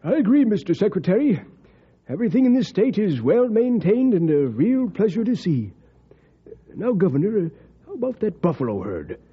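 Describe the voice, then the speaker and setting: High, husky senator. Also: male voice, sing-song, normal speed, exaggerated voice. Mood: proud, enthusiastic. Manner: enthusiastic, formal. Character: boosterish, talkative male senator. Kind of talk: old-time radio drama acting. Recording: dull old radio recording.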